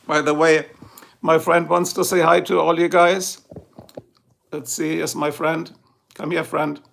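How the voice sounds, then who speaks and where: A man's voice: a little high, creaky, a bit bright. A middle-aged man with a German accent, chatting casually with viewers on his livestream and answering their comments. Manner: chatty, answers viewers one by one, chuckles often. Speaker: livestream host.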